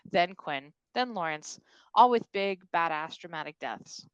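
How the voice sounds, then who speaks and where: A woman's voice: fairly low pitch, expressive, measured pace, smooth, no room sound, lo-fi sound. A woman narrating action and stage directions from a script at a virtual table read.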